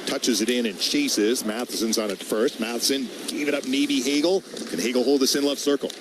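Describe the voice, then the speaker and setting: A man calling live hockey play-by-play on the radio, naming each move in clipped, rapid-fire phrases. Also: medium pitch, fairly even intonation, noisy background. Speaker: play-by-play announcer.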